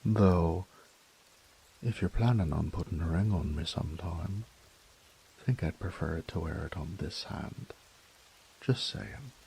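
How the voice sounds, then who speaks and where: A man's voice: low-pitched, measured pace, gravelly, long pauses, warm tone, slight background noise, poor audio. A man playing a charming reformed thief and flirtatious, tender fiancé in an intimate romantic audio roleplay, murmuring and dropping into whispers. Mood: tender, hushed.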